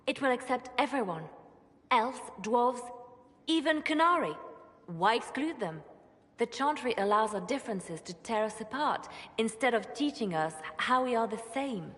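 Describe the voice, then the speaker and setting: A devout reformist churchwoman from a fantasy game. She speaks with gentle, earnest conviction, like a measured orator with impassioned ideals. Her voice rings with long hall reverberation. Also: fairly high pitch, animated. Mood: resolute. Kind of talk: dramatic video game dialogue.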